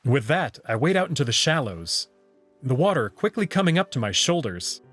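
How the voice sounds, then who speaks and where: A man's voice: medium pitch, very animated, normal speed, a bit bright, faint music behind. A man reading first-person story narration aloud in a scripted visual novel style.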